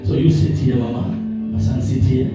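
A man's voice: medium pitch, completely flat delivery, normal speed. A man preaching a sermon, building repeated declarations that rise in force. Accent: African English. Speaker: pastor. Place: church hall. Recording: echoey hall from far off with music.

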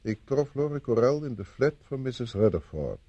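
Shrewd, husky police inspector. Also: male voice, medium pitch, big pitch swings, normal speed, warm tone, dull audio. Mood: reading aloud. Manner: commanding, probing, slightly condescending. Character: shrewd, self-assured male police inspector. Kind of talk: Dutch radio play acting.

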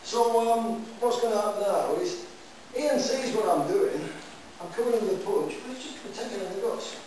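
A man's voice: sits high, animated, normal speed, darkish tone. An older man teaching a karate class in a chatty, loose way, often checking with "yeah?", recorded by a camera far off in a large room. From England. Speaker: karate instructor. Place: large echoey indoor dojo. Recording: echoey hall from far off, noisy.

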